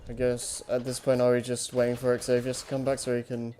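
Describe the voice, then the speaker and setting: A man's voice: medium pitch, completely flat delivery, fairly quick, dark tone, a little hiss or hum. A man hosting a casual group discussion, speaking hesitantly and often searching for his words. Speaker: podcast host leading discussion. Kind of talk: casual chat.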